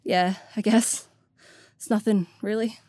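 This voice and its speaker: A woman's voice: medium pitch, fairly even intonation, measured pace, warm tone, no echo, clean studio sound, lightly acted. A woman playing an anxious but determined, self-doubting young adventurer in an audio drama, speaking in a hesitant, plain way. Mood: quiet relief.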